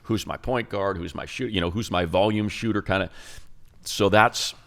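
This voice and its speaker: A man's voice: lowish voice, normal speed, fairly bright tone. A man co-hosting a sports talk show, breaking down football in a rambling, restart-heavy way. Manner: rambling analysis with many restarts. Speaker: radio play-by-play announcer and co-host.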